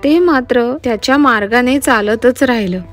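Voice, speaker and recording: A woman narrating scripted moral stories for children in an expressive way, speaking directly to them. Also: fairly high pitch, normal speed. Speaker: children's storyteller. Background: background music.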